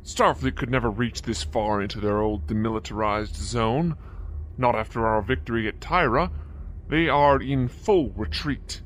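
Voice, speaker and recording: An earnest alien zealot soldier played by a man, mid-pitched and formal. He speaks in dutiful, literal statements in a sci-fi audio drama, with faint noise behind. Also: moderate pace, close to an everyday voice, low-quality recording. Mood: dutiful certainty. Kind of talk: amateur audio drama acting.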